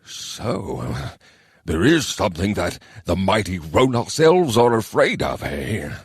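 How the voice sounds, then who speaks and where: A man's voice: low voice, very animated, slow pace, slightly rough, no echo, studio quality, heavily acted. A man voicing a scheming, irritable goblin mayor in an audiobook, grumbling and giving orders. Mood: calculating. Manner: grumbling, commanding. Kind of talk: audiobook character voice.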